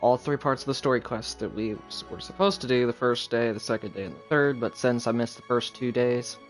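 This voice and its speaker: A young adult man chatting casually on a live game stream in a rambling, halting, low-key way. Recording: game music behind voice. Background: video game music.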